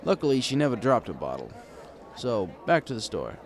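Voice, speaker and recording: A man's voice: mid-range voice, wildly swooping pitch, brisk. A man reading a first-person story in a wry, conversational storytelling manner. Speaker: fan fiction reader.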